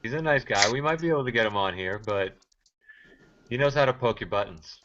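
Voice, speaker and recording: Laid-back joking dude, mid voice, muffled webcast sound, slight hiss. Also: male voice, medium pitch, fairly quick. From Cape Cod, Massachusetts. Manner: easygoing, jokey, laughs often. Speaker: co-host. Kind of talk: casual sports chat.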